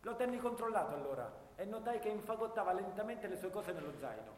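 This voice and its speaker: An actor playing a haunted young First World War soldier, declaiming grave memories in a theatrical radio drama monologue. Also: male voice, high-pitched, very animated, fast, slight background noise. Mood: haunted, urgent. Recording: echoey hall, guitar music behind. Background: guitar music.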